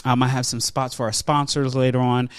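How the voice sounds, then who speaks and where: Male podcast host. Informal, slangy, upbeat banter and rundowns in a medium-pitched voice, recorded dry. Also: flat delivery, brisk, clear, resonant tone, dry recording.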